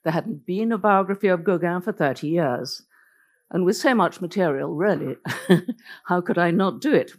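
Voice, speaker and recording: A woman's voice: fairly low pitch, expressive, normal speed. A woman whose first language is Norwegian, giving an illustrated lecture as a biographer, telling the story vividly with wry asides.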